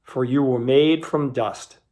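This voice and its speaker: A man's voice: medium pitch, fairly even intonation, takes it slowly, warm tone, lightly acted. A man voicing a stern, commanding God in a scripture reading, emphatic and accusing in manner. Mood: solemn judgment. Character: God, stern commanding male deity passing judgment.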